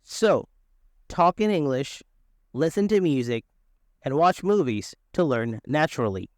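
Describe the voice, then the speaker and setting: A man reading a scripted English learning lesson aloud in a clear, even read-aloud manner. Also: a little high, unhurried, no echo, clean studio sound. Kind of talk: scripted narration.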